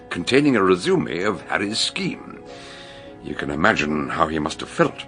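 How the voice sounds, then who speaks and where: An actor playing an older detective in a radio mystery, genial yet grave, explaining things deliberately. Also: male voice, low voice, fairly bright tone, heavily acted. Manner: hesitant openings, deliberate explanatory delivery. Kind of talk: radio mystery drama acting.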